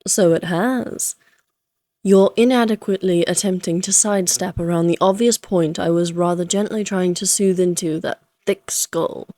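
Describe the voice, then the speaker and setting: A woman's voice: fairly low pitch, steady tone, moderate pace, warm tone, no echo, lightly acted. A woman playing a playful, flirtatious immortal vampire in a Victorian fantasy audio roleplay, teasing in genteel, archaic phrasing.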